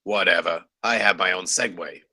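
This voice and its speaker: An actor playing a lazy, jaded campus security guard in a comedy table read, deadpan and offhand, with a gravelly voice. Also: male voice, sits low, normal speed, no room sound, clean studio sound, heavily acted. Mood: deadpan, dismissive. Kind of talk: comedy script read aloud.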